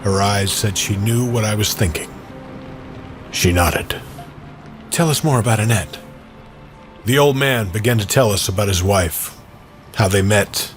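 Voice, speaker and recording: Low-pitched male storyteller over music. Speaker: narrator and performer. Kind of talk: scripted first-person story narration. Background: original music score.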